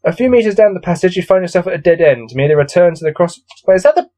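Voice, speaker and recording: Guy reading a gamebook out loud, kinda high voice, bit of a cold, grumbles and sounds fed up. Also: expressive, racing. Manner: weary, grumbling, exasperated asides. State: coming down with a cold. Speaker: solo gamebook reader and commentator. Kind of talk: reading a gamebook aloud with off-the-cuff commentary.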